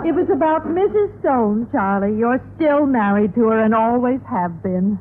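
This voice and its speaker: An actress playing a genteel, coaxing middle-aged wife in a 1950s radio sitcom. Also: female voice, fairly high pitch, lively intonation, moderate pace, natural voice. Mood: confessing, matter-of-fact. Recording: tinny, noisy old radio recording.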